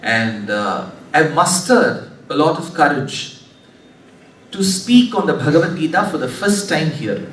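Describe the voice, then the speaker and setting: High-voiced man in an echoey hall. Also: animated, a bit bright. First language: Tamil. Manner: slow, measured, formal with scriptural terms. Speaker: religious discourse speaker. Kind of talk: spiritual discourse in a hall. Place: large hall before an audience. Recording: echoey hall, distant mic, faint hiss.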